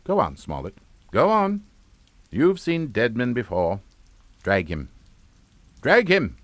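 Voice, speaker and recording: Family patriarch, middle-aged, coldly menacing, clipped orders, lively intonation, dry close studio sound, radio suspense drama. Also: male voice, medium pitch, takes it slowly, dry recording, full character voice. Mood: commanding. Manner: clipped, authoritative, matter-of-fact.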